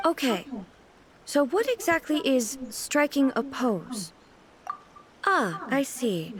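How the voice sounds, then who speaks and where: A woman playing a dignified, earnest, naively puzzled ruler in a video game, speaking in a formal, deliberate way. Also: high-pitched, big pitch swings, heavily acted. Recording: music playing behind the voice.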